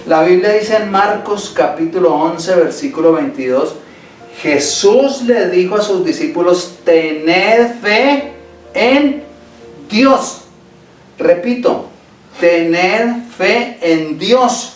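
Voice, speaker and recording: A man preaching slowly and deliberately from the pulpit, his voice sitting a little high for a man. He hammers home key phrases by repeating them. The sound rings through an echoey church hall, picked up from some distance, with a faint guitar playing underneath. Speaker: pastor. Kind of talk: Sunday sermon. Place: church sanctuary.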